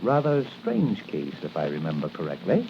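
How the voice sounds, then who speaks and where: A man's voice: sits low, wildly swooping pitch, moderate pace, exaggerated voice. An actor playing a kindly older apothecary doctor in a 1940s radio drama, hesitant and fatherly in manner. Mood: thoughtful. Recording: noisy, muffled 1940 radio recording.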